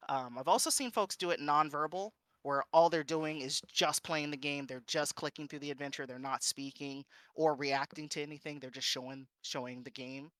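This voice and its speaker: A man presenting as a streamer in an informal instructional style, halting and often interrupting himself with "you know". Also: a little high, fairly even intonation, fairly bright tone, clean tone, dry recording, clean studio sound.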